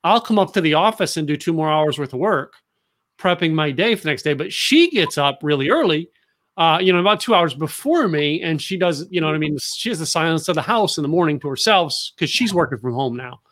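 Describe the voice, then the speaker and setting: Male host, higher voice, chatty and rambling, lots of fillers. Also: lively intonation, fairly quick, a bit bright. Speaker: podcast host. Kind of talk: casual interview chat.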